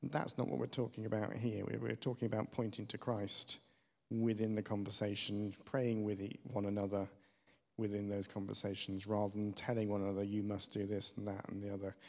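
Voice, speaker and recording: A man from West Germany giving spontaneous pastoral advice, halting and rephrasing as he explains. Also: on the low side, flat delivery, gravelly, darkish tone. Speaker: church panel speaker answering audience questions. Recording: reverberant hall.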